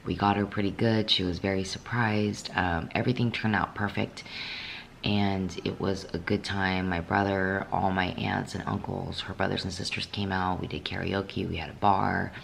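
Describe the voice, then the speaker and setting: A young woman with a raspy voice, just woken up, talking candidly in a casual vlog from her apartment, with many fillers. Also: extremely low pitch, level tone, moderate pace, a little hiss or hum. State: just woke up. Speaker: fitness vlogger.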